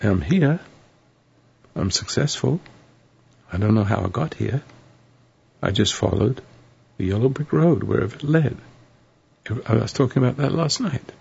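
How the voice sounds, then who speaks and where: A hoarse man hosting a live call-in radio show, rambling and preachy with a sardonic edge. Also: mid-range voice, expressive, unhurried, pauses often, darkish tone. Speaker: radio talk show host. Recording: dull lo-fi radio broadcast.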